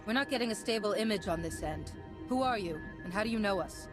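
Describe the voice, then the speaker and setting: A woman's voice: mid-range voice, expressive, quick pace, over music, natural voice. A woman voicing a composed, confident Jedi warrior in a sci-fi game, speaking firmly and formally. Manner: firm, formal, self-assured. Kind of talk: scripted game dialogue.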